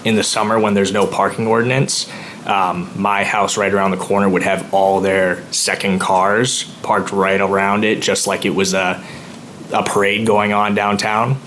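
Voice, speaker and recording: A man with a deep voice gives public comment at a town meeting and holds his pitch flat. He sounds nervous and halting and fills the gaps with ums. Faint noise sits under the room audio. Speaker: resident speaking at public comment.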